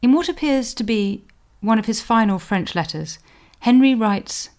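A woman historian hosting a podcast, giving scripted explanatory narration in an articulate, scholarly manner with deliberate emphasis. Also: fairly high pitch, lively intonation, darkish tone.

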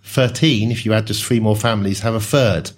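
A man explaining ideas in a relaxed, conversational way with wry asides. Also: on the low side, a little fast. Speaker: speaker on social class. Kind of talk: discussion talk.

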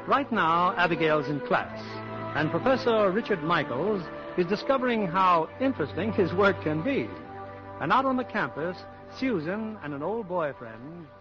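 A man's voice: high voice, animated, normal speed, music in the background. A man reading scripted narration in the polished style of a 1940s radio drama. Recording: muffled 1940s radio broadcast.

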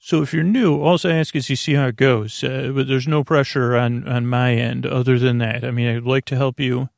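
A man's voice: mid-range voice, steady tone, normal speed, dry recording, clean studio sound. A man hosting a sleep podcast, rambling in a hesitant, meandering way with lots of fillers and restarts. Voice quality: creaky. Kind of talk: rambling bedtime monologue.

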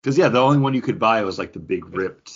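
A man's voice: lowish voice, lively intonation, fast, breathy edge, lo-fi sound. A man co-hosting a podcast in casual, enthusiastic chat, using plenty of slang and swearing. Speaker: podcast co-host. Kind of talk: casual chat about comics and toys.

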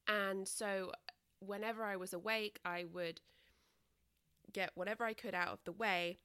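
A woman host raised in Britain, talking through a casual solo podcast, a little congested and croaky, candid and rambling with many ums. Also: mid-range voice, steady tone, a little slow, bright, forceful tone, dry recording, clean studio sound. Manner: chatty and candid, many ums and self-corrections.

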